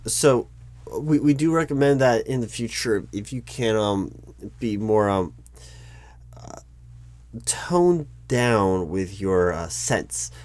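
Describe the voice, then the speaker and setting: Male, hesitant corporate interviewer; low, unhurried delivery, polite, full of ums. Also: animated, a little mellow. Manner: polite, awkward, stiffly corporate. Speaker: recruiting manager in a roleplay. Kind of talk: one-sided job interview roleplay.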